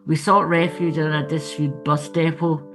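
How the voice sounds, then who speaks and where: A woman's voice: low-pitched, level tone, normal speed, over music. A woman author reading her own book aloud as scripted memoir narration, with ambient sound behind her.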